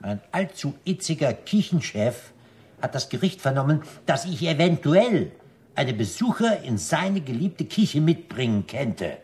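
Smooth sly restaurant boss, super polite and formal, talks slow, voice goes up and down, old radio play. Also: male voice, a little high, big pitch swings, darkish tone. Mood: smooth, reassuring. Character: suave restaurant owner, courteous, sly, commanding with staff. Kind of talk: 1960s German radio drama acting.

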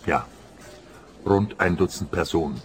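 An actor playing an uneasy, earnest older pensioner in a radio play, measured and resigned. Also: male voice, low-pitched, slight background noise. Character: uneasy, earnest male pensioner, henpecked husband. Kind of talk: German-language radio play dialogue.

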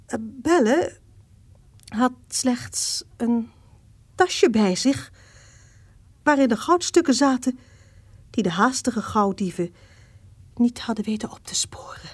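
A woman narrates a period radio play in a highish, husky voice. Her scripted storytelling swings widely in pitch, with deliberate dramatic emphasis on phrases. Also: animated, no room sound.

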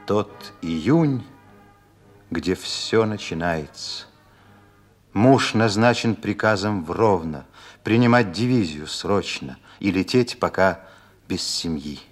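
A man's voice: low-pitched, animated, takes it slowly, faint music behind, exaggerated voice. A male actor playing a solemn, compassionate narrator who speaks in dramatic verse in a radio play. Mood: grave, tender.

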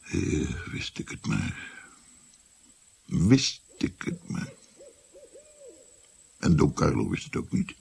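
Older male for radio play: rueful grandfather storyteller, very husky, deep voice. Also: wildly swooping pitch, slow, pauses often, darkish tone, full character voice. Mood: wry, reflective. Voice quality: gravelly, husky. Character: old grandfather physician recounting his past, rueful. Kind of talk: radio drama narration.

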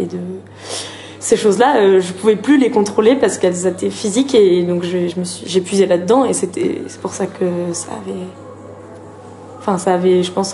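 Soft-toned, mellow reflective woman. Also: lowish voice, fairly even intonation. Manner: halting, reflective, restarts phrases. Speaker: dancer. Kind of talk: casual personal testimony.

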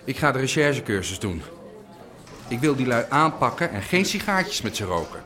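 A man's voice: medium pitch, very animated, moderate pace, pushed and bright. An actor playing an eager young rookie policeman in a Dutch radio drama, earnest and easily indignant.

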